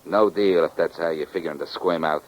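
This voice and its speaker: A man plays a gangster stooge in a vintage radio crime show. His voice sits very low. He is suspicious and menacing, with slangy, ungrammatical tough talk. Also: brisk, close to an everyday voice, poor audio. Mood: threatening. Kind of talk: 1940s radio crime drama acting. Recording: vintage 1940s radio recording.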